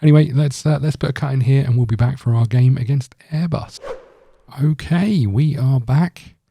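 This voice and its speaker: A man's voice: mid-range voice, normal speed, gravelly, warm tone, a little hiss or hum. A man hosting a gaming video, giving casual let's-play commentary in a rambling, thinking-aloud way.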